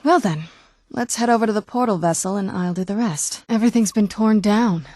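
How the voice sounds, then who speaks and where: An actress playing a guarded, wistful young-sounding vampire woman in a video game, speaking in a measured, conversational way with wry understatement. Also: mid-range voice, warm tone, no room sound, studio quality, lightly acted. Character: female vampire daughter, centuries old yet youthful, wry, guarded, wistful. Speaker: video game companion character. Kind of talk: video game dialogue acting.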